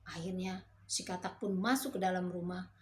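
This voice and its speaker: A woman's voice: fairly low pitch, steady tone, slight background noise. Woman reading a children's fairy tale. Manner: slow, clear storybook narration. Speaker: storyteller.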